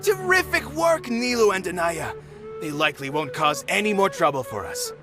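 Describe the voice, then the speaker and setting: Game role: hearty, loyal young guy, high-pitched for a man, very lively. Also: normal speed, music in the background. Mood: proud, pleased. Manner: exclamatory, hearty. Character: spirited young male friend, loyal and indignant. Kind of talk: scripted video game dialogue.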